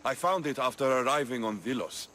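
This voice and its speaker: A man playing an intense, earnest Spartan warrior and love interest in a cinematic video game, speaking with solemn, intimate, measured phrasing. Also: medium pitch, lively intonation, bright, forceful tone, a little hiss or hum.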